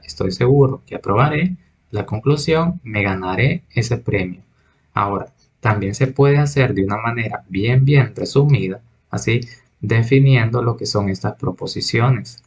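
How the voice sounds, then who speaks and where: A man's voice: mid-range voice, muffled recording. Man explaining logic step by step. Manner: patient, explains step by step, reads examples aloud. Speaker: math teacher. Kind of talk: recorded logic lecture.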